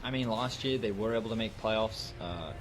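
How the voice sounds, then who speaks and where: A man giving relaxed, analytical live esports commentary with easy banter. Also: on the low side, moderate pace. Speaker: esports color caster. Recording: commentary over loud game music.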